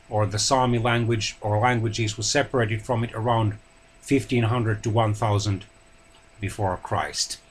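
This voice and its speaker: Male lesson host, middle pitch, careful and halting delivery. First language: Finnish. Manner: measured, hesitant with pauses, often clears throat. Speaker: language channel host. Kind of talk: educational talk on languages.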